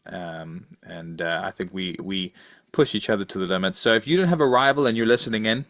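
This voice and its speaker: A man's voice: low voice, brisk, fairly bright tone, no room sound, studio quality. A man co-hosting a podcast in casual chat, thoughtful and hesitant, often repeating his phrases. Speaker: podcast co-host.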